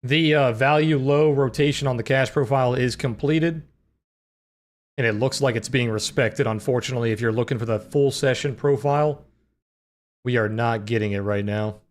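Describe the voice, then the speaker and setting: Young male streamer, deep voice prone to cracks, laid-back conversational delivery at a desk mic. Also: moderate pace. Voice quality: naturally deep voice that sometimes cracks high. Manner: relaxed, filler-heavy, answers chat questions. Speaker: livestream trading host. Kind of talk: casual live-stream chat with chat readers.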